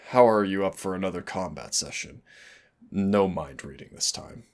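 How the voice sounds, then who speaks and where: A man's voice: low-pitched, a bit bright. A man playing a cocky, sardonic demon mentor in a scripted roleplay audio, teasing and exasperated yet fond. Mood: teasing, smug.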